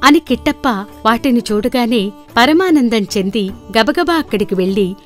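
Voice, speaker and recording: A woman narrates a scripted folktale. Her voice is fairly high and swings animatedly in pitch, with background music underneath. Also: expressive, normal speed.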